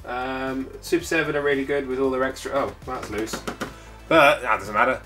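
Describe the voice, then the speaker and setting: Man, stuffy from a cold, chatty unboxing talk, bright forceful tone, faint music behind. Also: medium pitch, moderate pace. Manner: chatty, rambling, with frequent filler sounds. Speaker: toy collector channel host. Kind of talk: casual unboxing commentary.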